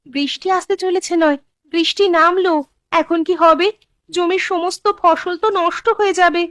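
A woman's voice: very high-pitched, steady tone, normal speed, fairly bright tone, dry recording. A woman voicing an anxious, fretful wife of a poor farmer in a cartoon, given to exclamations. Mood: alarmed, worried. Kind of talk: Bengali cartoon voice acting.

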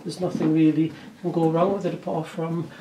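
A man in his forties with an English accent, chatting casually through a bag review, hoarse from a sore throat and often correcting himself. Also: a little high, level tone, brisk, echoey room, far from the mic, background noise. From England. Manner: rambling, self-correcting, chatty. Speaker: vlogger reviewing bags. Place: room indoors, curtain backdrop.

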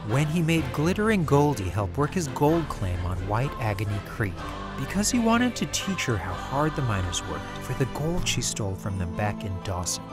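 Man, dramatic story narration, medium pitch, expressive, music behind. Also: moderate pace, few pauses.

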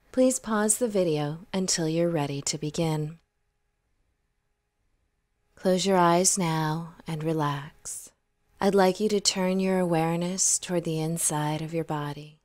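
Slow, soothing woman guiding meditation. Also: low-pitched, no echo. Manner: soothing, unhurried, gentle, softly trailing phrases. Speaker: meditation guide. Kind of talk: guided meditation narration, scripted.

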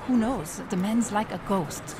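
An actress playing a guarded German underground contact in a video game, with a German accent and a measured, cool, grave delivery. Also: female voice, medium pitch, background noise, lightly acted. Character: composed, guarded female German underground informant handler. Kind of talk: video game cinematic acting. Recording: loud background ambience over dialogue.

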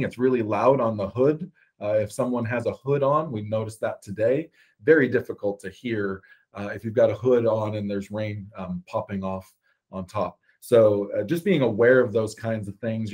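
Man with a loud deep voice. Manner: relaxed, many uh fillers. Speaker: ranger and outdoor educator. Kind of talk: webinar presentation. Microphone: video call.

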